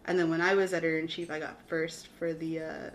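A woman's voice: deep voice, level tone, fast, a little hiss or hum. Young woman rambling with lots of "like". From Austin, Texas. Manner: rambling, heavy on "like", giggly and modest. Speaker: guest, graphic designer. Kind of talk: casual interview chat.

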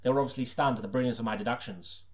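Casting: male audiobook narrator, medium pitch, for a tongue-in-cheek noir detective story told with deadpan seriousness. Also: racing.

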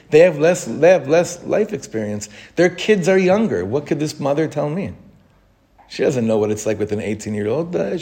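Earnest rabbi teaching a class. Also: male voice, lowish voice, creaky, mellow. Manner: earnest, self-questioning, repeats phrases for emphasis; reads Hebrew text quickly, then explains it. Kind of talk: informal Torah talk.

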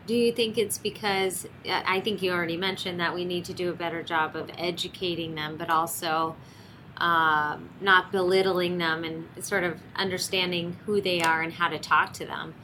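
A woman's voice: on the low side, fairly even intonation, normal speed, pushed and bright, a little hiss or hum, low-quality recording. A woman hosting an interview, agreeable and encouraging, who offers brief affirmations and thinks aloud as she shapes her follow-up questions. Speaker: interviewer, host. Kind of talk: conversational interview.

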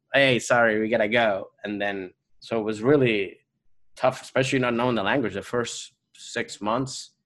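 A man from Venezuela whose first language is Spanish, chatting as a podcast guest. His voice sits on the low side. He rambles, circles back and fills gaps with "uh" and "you know". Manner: rambling, many fillers and restarts. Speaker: guest comedian. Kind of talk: casual podcast chat.

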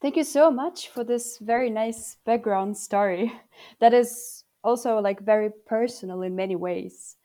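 Woman podcast host, mellow and warm tone, friendly with light fillers. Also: a little high, a little slow. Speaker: interviewer, host. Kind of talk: podcast interview.

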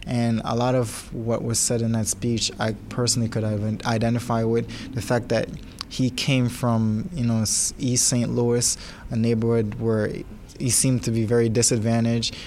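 A young man talks casually and enthusiastically, though his pitch stays fairly flat. Faint background noise sits under his voice. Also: normal speed. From New York. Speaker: student. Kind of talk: interview answer.

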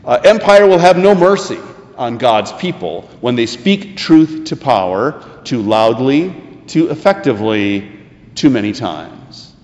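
An American man preaching a sermon, telling a scripture story with deliberate, emphatic phrasing and pauses for effect. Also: mid-range voice, a little mellow. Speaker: preacher, pastor. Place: church sanctuary. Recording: echoey, reverberant hall.

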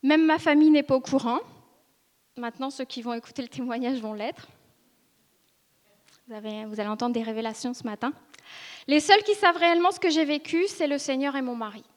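A woman in her thirties from northern France, giving an earnest, hesitant personal testimony to a church gathering. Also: high voice. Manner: earnest, hesitant, with frequent pauses and restarts. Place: church ministry school meeting room. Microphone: seated speaker, filmed for video. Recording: reverberant hall.

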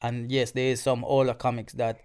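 A man's voice: mid-range voice, little pitch movement, a little fast, darkish tone, clear, resonant tone. A podcast co-host with a voice in the middle range for men. He hands out advice in loose, casual talk and trips over repeated words. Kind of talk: casual chat.

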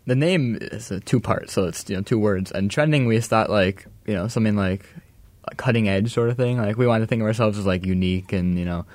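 A man's voice: on the low side, brisk, darkish tone, dry recording. An 18-year-old man, a guest musician, answering interview questions hesitantly with many fillers in a radio studio. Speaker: guest musician, bass player.

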